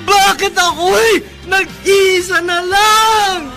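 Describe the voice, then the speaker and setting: High-pitched, proud aging colonel. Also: male voice, fairly even intonation, very slow, music in the background, heavily acted. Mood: tearful, desperate. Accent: Filipino. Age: older. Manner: imperious, anguished, declamatory. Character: proud, lonely, commanding aging army colonel and father. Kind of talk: melodramatic Filipino radio drama acting.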